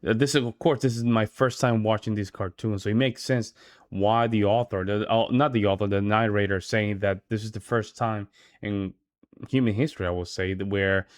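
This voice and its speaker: A man giving casual, halting reaction commentary, thinking aloud as a host. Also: on the low side, fairly even intonation, no room sound, studio quality.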